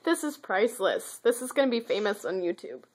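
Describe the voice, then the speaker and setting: A giggly, excitable woman chatting casually as an amused onlooker. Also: mid-range voice, brisk. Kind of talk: amused casual chat while watching a show.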